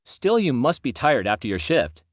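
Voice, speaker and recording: Male narrator as weary, persistent, kindly shopper in story dialogue, earnest, animated intonation. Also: mid-range voice, normal speed, dry recording, clean studio sound, lightly acted. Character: tired, well-meaning, over-insistent shopper.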